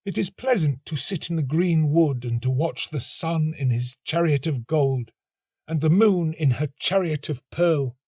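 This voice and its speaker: A man's voice: a little high, a little slow, a little mellow, dry recording, clean studio sound, pitched above the performer's own voice. A man voicing a small, selfless female nightingale in a storybook, speaking in an earnest, lyrical, passionate way. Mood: wistful resolve. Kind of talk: storybook character acting.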